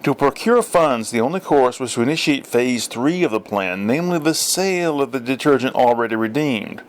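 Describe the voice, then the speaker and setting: Casting: male audiobook narrator, mid-range pitch, scripted literary storytelling. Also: expressive, moderate pace. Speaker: audiobook reader.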